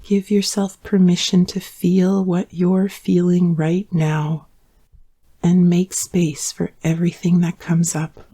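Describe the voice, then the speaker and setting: A woman leading a guided yoga nidra relaxation in a calm, soothing manner, leaving long pauses between gentle instructions. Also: on the low side, breathy edge.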